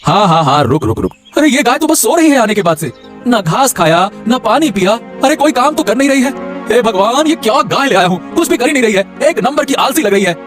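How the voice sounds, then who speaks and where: A man voicing an excitable, grumbling village man in a cartoon folk tale, repeating words and exclaiming. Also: extremely high pitch, fairly quick, over music. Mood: exasperated. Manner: stammering repetition, exclamatory. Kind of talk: cartoon moral-story voice acting.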